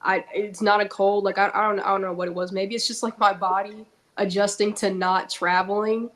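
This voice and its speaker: A woman's voice: mid-range voice, little pitch movement, a little fast, a bit bright. Young woman with a stuffy, congested nose. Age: young adult. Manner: chatty and joking, with many fillers. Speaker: guest, actress. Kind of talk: casual chat.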